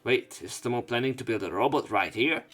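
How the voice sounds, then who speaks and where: A man's voice: mid-range voice, expressive, brisk, a bit bright. A young man reading game text aloud, with casual, profane asides as a let's play narrator.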